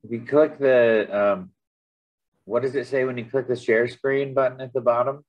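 A man's voice: mid-range voice, fairly even intonation, moderate pace, a little mellow. A man hosting a video meeting, helpful and apologetic while sorting out settings. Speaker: meeting host. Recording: muffled video call audio.

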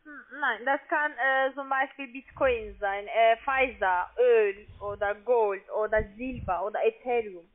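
High-pitched woman, foreign accent, non-native speaker, halting phone sales agent, tinny narrow line. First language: not German. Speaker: call centre account agent selling online trading.

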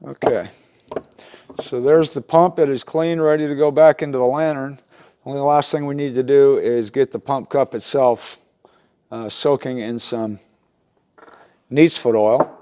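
A man's voice: medium pitch, fairly even intonation, unhurried, pauses often, noisy background. A middle-aged man talks casually through a repair step by step, in a plain-spoken, folksy way as he works. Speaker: instructor in a how-to repair video. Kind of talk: casual hands-on demonstration talk. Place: small wooden workshop at a workbench, indoors.

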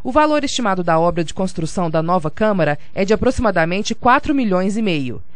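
Steady Brazilian woman reading news. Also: medium pitch, quick pace, dry recording. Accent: Brazilian Portuguese. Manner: steady formal delivery. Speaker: news reporter. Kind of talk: scripted news narration.

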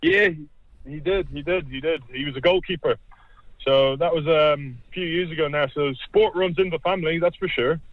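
A man's voice: fairly high pitch, normal speed, phone-quality sound, a little hiss or hum. A young man with an Irish accent, a rugby player chatting casually as a guest and often repeating himself. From Ireland. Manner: relaxed, rambling, repeats himself. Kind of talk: casual interview chat.

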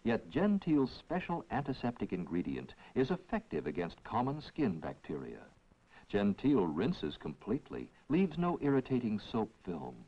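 A man reading a scripted 1960s TV commercial voice-over in a measured, reassuring way, on a vintage soundtrack. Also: fairly low pitch, huge pitch swings, slightly rough. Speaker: commercial announcer. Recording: dull vintage TV film soundtrack.

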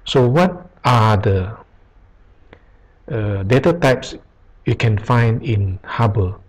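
A man teaching programming over a screen recording, reading aloud slowly with pauses and restarts. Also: fairly low pitch, animated, darkish tone, husky. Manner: slow, halting, reads aloud with pauses and restarts. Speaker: programming tutor. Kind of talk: screen-recorded tutorial reading from web pages. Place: at a computer, voice-over on screen capture.

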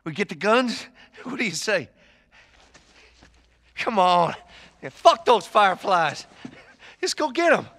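A desperate, wounded man in a game cutscene. His high, sharp voice swings widely as he pleads and stammers. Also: sing-song, slow pace, pauses often, dry recording, heavily acted. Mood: desperate pleading. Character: desperate, wounded, pleading male gun dealer. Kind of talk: video game cutscene acting.

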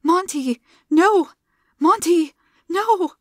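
A grieving young heroine, pitched high and shrill, crying out in anguish and anger, as a woman reading a story puts her on. The voice sits close and dry, with no room around it. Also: extremely slow, no echo, full character voice. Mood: distraught.

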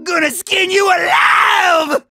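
A man voicing a manic, hot-tempered monster henchman in a video game, with a gravelly screech and a wild, taunting, slangy delivery. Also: very high voice, steady tone, slow pace, sharp tone, shouting, heavily acted. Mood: aggressive taunting. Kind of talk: exaggerated video game villain acting.

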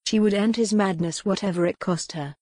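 A woman's voice: medium pitch, a little fast, a little mellow, dry recording, clean studio sound. A woman narrating a historical romance audiobook as scripted dramatic storytelling.

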